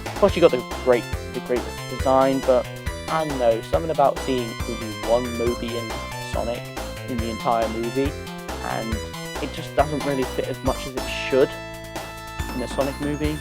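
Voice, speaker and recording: A man hosting a gaming video, giving casual, semi-improvised list commentary in a halting way, often trailing off and repeating words. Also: medium pitch. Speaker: host of a gaming video channel.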